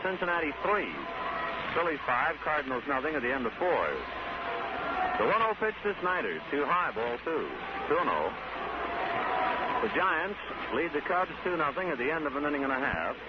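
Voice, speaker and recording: Animated 1950s radio baseball announcer. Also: male voice, a little high. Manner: brisk, animated play calling. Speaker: play-by-play announcer. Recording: muffled 1950s radio broadcast with loud hiss.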